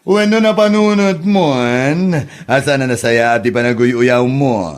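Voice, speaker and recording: Slow-talking reproachful Ilocano man an actor's role. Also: mid-range voice, clean tone, lightly acted. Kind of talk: Ilocano comedy drama acting.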